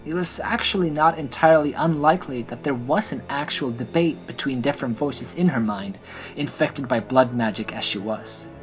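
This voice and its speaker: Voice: medium pitch, normal speed. A storyteller narrates a scripted fantasy tale. The voice sits in a middle register, and music plays faintly behind it.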